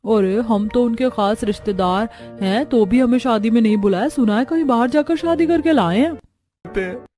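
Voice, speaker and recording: A woman's voice: on the high side, moderate pace, warm tone, faint music behind, close to an everyday voice. A woman voicing a gossipy, slighted wedding relative.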